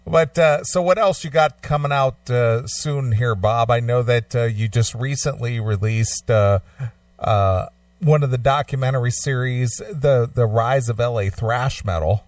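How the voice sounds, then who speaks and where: A man's voice: mid-range voice, unhurried, no room sound, recorded from a distance. A man hosting a radio show, talking in a casual interview style.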